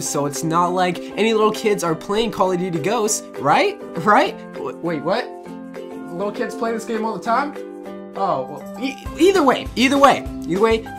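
A woman hosts a casual online video with a chatty, scripted comic delivery. Her pitch moves around in a lively way at a middle height for a woman. Music plays clearly behind her voice. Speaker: video creator and host. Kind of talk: casual scripted list narration with comic asides. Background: background music.

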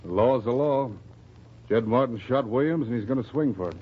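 An actor playing a hard, crooked, curt Western sheriff with an American accent in an old radio drama. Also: male voice, mid-range voice, brisk, close to an everyday voice. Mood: menacing. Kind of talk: 1940s radio Western acting. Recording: dull, husky old radio recording.

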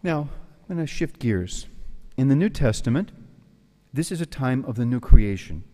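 Older american man, priest, mellow dark tone, mid pitch, lectern mic, long hall reverb. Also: normal speed. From New York City. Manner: animated, gestures with both hands, tells jokes. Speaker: priest giving a retreat talk. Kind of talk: retreat talk. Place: large hall, on a stage at a lectern. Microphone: stand mic at the lectern.

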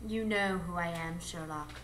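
A woman playing an alluring, ageless, mysterious adventuress in a live radio drama, speaking in a measured, coy, theatrical way. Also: fairly low pitch, fairly bright tone, lightly acted. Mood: coy, teasing. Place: live performance space before an audience. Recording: noisy live stage recording.

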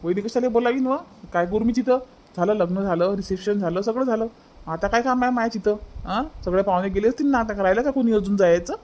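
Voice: mid-range voice, fast talker, a little mellow, natural voice. A performer voicing a grudging village husband who repeats himself, in an amateur cartoon family comedy, with a Varhadi Marathi accent. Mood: scolding.

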